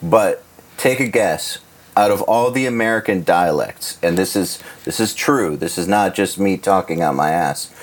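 Very deep-voiced blunt male host. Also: normal speed. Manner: blunt, swears freely, teasing. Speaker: podcast host. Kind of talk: casual chat.

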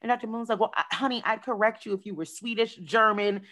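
A woman hosting a podcast in a casual, playful, animated way, calling listeners "y'all" and "honey.". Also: fairly high pitch, fast talker. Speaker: podcast host. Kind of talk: casual chat.

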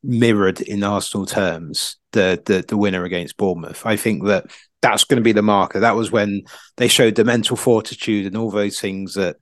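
A man's voice: lowish voice, fairly even intonation, normal speed, dry recording, clean studio sound. A man co-hosting a football podcast, casually analysing in rambling, stop-start sentences full of asides.

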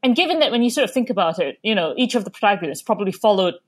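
A woman's voice: medium pitch, animated, very fast. A woman from Malaysia, an author, casually recommending a book with many ums and restarts.

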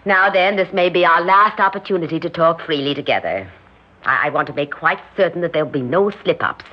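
Grandiose scheming society hostess, swooping delivery. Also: female voice, low voice, expressive, brisk. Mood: coolly commanding. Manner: theatrical, imperious. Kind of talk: old radio drama acting. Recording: muffled old radio recording.

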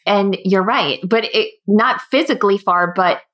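A woman hosting a podcast, speaking in a thoughtful, measured way. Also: mid-range voice, fairly bright tone. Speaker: podcast host, coach. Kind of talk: podcast intro and interview.

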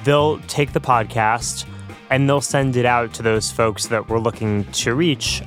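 A man's voice: medium pitch, fairly even intonation, unhurried, a bit bright, soft background music. A man being interviewed, explaining and reflecting in plain conversational speech.

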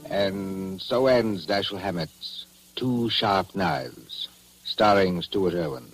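A man giving a formal, measured, scripted announcement in the style of a 1940s radio host. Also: low voice, lively intonation, soft background music, low-quality recording. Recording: 1940s radio broadcast recording.

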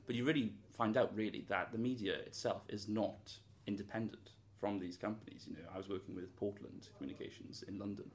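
A man's voice: fairly low pitch, fairly even intonation, brisk, hardly any pauses. A young man from Wales, a student answering interview questions in an earnest, thoughtful way, full of hedges and restarts. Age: young adult.

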